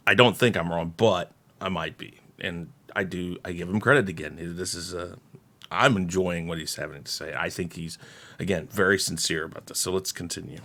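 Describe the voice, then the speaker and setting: A man hosting casual reaction commentary, speaking in a measured way with pauses and throat clearing. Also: sits low, pushed and bright. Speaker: host reacting to a video.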